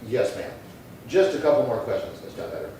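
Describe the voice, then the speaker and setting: A man's voice: medium pitch, sing-song, fairly quick, mellow, lightly acted. A man acting a terse, courteous police detective in a 1950s-style radio crime drama, asking clipped, matter-of-fact questions. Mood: patient, dry. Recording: very echoey, distant, faint noise.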